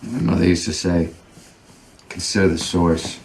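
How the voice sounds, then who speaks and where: A man's voice: deep voice, flat delivery, normal speed, darkish tone, slight background noise. An actor playing an opinionated, prickly man who questions and challenges people with sarcasm, in a naturalistic drama. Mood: needling.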